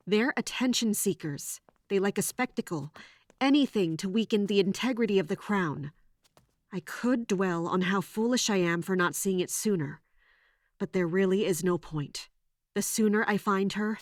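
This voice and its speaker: A woman's voice: mid-range voice, no echo, lightly acted. A woman playing a guarded, resolute captain in an audio drama, terse, grave and introspective as she narrates and speaks. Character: guarded female royal guard captain, self-blaming, resolute. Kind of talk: first-person dramatic narration and acted dialogue.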